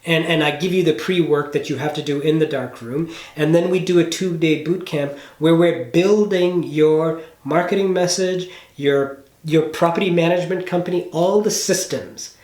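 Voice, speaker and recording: A man with a slightly high voice speaks in a roomy, echoing space. He coaches in a casual, conversational way, explaining with emphasis and tossing in little check-ins as he goes. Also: moderate pace, a little mellow. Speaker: business coach. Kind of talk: casual coaching phone call. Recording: roomy, echoey room sound.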